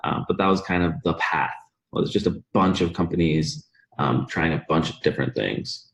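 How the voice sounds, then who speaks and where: A man's voice: deep voice, normal speed, creaky, rough recording. Upbeat young man from Bosnia. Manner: upbeat, enthusiastic storytelling, laughs often. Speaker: podcast guest, entrepreneur. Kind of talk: casual interview chat.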